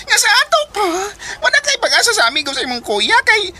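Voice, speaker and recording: A woman's voice: extremely high pitch, sing-song, quick pace, bright, forceful tone, soft background music, full character voice. A woman playing a frank, teasing young college friend in a radio drama, scolding and exclamatory.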